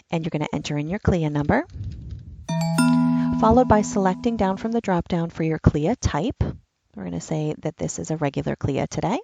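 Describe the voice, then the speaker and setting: Woman narrating a screen tutorial, slow and plain, kinda low pitched and muffled. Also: vocal fry, mellow, no room sound. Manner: step-by-step instructional, plain and patient. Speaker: enrollment trainer. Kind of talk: screen-recorded software walkthrough.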